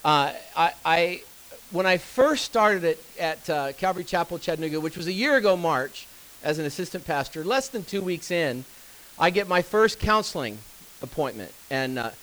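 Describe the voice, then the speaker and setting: A man's voice: fairly high pitch, fairly bright tone, low-quality recording. A man, an assistant pastor telling a folksy story in a talk to church staff. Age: 50s. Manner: folksy storytelling with fillers and restarts. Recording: faint hiss.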